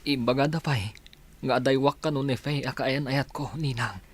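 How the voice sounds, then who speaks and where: A man's voice: medium pitch, normal speed, slightly rough, lightly acted. A man with an Ilocano accent playing an anxious young man in love in a radio drama. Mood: downcast.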